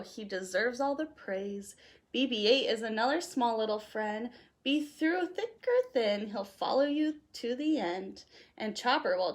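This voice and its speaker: Storytime reader, female, higher-pitched, very lively and expressive, with a playful lilt for a young audience. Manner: expressive, playful, sing-song delivery. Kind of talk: animated read-aloud of a rhyming children's picture book.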